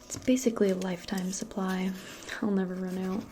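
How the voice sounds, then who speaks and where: A woman speaking softly and breathily, often near a whisper, in a gentle, casual ASMR role play aimed closely at the listener. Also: medium pitch, steady tone, brisk, background noise. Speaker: ASMR performer role-playing as a modern witch. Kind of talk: soft-spoken ASMR role play, personal attention.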